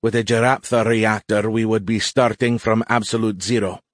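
A man's voice: lowish voice, level tone, moderate pace, dry recording, studio quality, close to an everyday voice. A man voicing a weary, blunt young female alien cadet in an audiobook, speaking formally and with emphatic insistence. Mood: exhausted, adamant.

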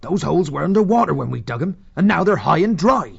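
An actor playing a gruff, plain-spoken otter skipper with a gravelly, raspy voice in an audiobook. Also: male voice, on the high side, very animated, quick pace, dull audio, exaggerated voice. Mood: grave, matter-of-fact. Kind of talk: full-cast audiobook acting.

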